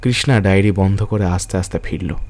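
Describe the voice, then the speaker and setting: A man's voice: low voice, brisk, darkish tone. A man narrating a radio drama in a scripted storytelling style.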